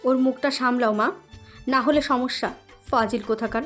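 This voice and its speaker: A woman's voice: fairly high pitch, steady tone, normal speed, soft background music, natural voice. A woman voicing a spiteful, irritable, jealous young woman with a snappish, scolding manner in an audio story. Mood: angry, scolding.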